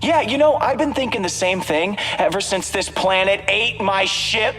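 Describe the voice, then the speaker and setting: An actor playing a cocky, goofy, wisecracking space pilot in a video game, flippant and very expressive. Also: male voice, sits high, normal speed. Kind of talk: video game character dialogue. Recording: long reverb, faint music.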